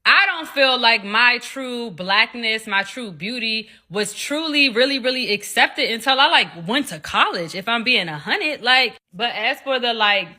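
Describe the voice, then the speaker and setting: Bright-voiced woman in her twenties. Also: on the high side, lively intonation, a little slow, few pauses. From United States. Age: 20s. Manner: earnest and emphatic, many "like" fillers. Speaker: discussion participant. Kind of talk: casual discussion.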